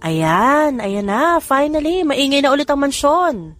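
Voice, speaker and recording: A woman with a Filipino accent voicing an excitable, nosy young sister-in-law, eager and inquisitive, in an audiobook romance. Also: high voice, a little slow, no room sound, soft background music, voice pushed up. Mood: delighted. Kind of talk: audiobook romance character acting.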